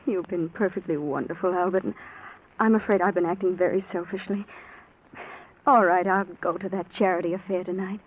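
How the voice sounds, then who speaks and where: An actress playing a gentle, solicitous, puzzled wife in a vintage radio drama. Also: female voice, lowish voice, steady tone, fairly quick, natural voice. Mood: tender. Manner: solicitous, apologetic. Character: gentle, concerned wife, puzzled by her husband. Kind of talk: 1940s radio acting. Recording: tinny, noisy old radio recording.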